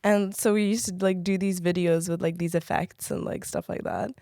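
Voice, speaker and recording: A woman's voice: on the low side, moderate pace, no echo, studio quality. A young woman from the Czech Republic, a native French speaker, chatting casually as a podcast guest and telling stories with lots of "like.". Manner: chatty, heavy "like" filler, animated storytelling. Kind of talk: casual interview chat.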